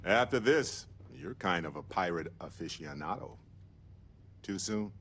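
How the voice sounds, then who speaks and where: A roguish, upbeat male space explorer in a video game. His low voice swings freely through breezy, wry jokes, over clear background hum. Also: lively intonation, a little slow, a bit bright. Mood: teasing.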